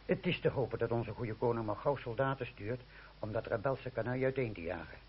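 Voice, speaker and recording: A man's voice: medium pitch, brisk, close to an everyday voice. Muffled, deferential male waiter acted by a man. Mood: grumbling. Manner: obsequious, gossipy. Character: deferential, cautious royalist waiter grumbling about rebels. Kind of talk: radio drama acting. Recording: muffled old radio recording with hiss.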